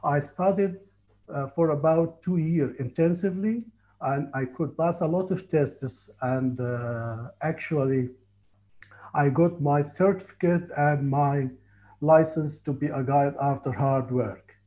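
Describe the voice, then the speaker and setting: A man in his forties from Egypt with an Egyptian accent, telling his life story in a halting, earnest way over a call. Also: a little high. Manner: halting, earnest, frequent restarts. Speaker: tour guide and Egyptologist. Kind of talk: casual interview on a call. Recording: muffled lo-fi call audio.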